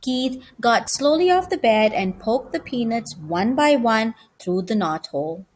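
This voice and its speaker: A woman reading a children's story aloud as an expressive narrator. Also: fairly high pitch, a little slow.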